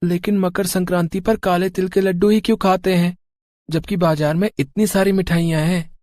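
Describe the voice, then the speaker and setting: A man's voice: sits high, steady tone, normal speed, darkish tone, no echo, pitched above the performer's own voice, close to an everyday voice. A man voicing a curious, eager little boy in a cartoon moral story, childlike and inquisitive.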